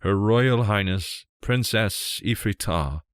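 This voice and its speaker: A man playing a formal court herald in a fantasy storybook, announcing loudly and ceremoniously. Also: lowish voice, slow, dry recording, studio quality, voice pushed up.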